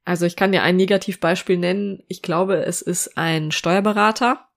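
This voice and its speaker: A woman hosts her own podcast on social media, her voice sitting slightly low and a little nasal from a cold. She talks calmly and reassuringly, addressing the listener directly. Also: normal speed, creaky. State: has a cold, speaks a bit through the nose. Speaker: podcast host. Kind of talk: solo podcast talk on social media marketing.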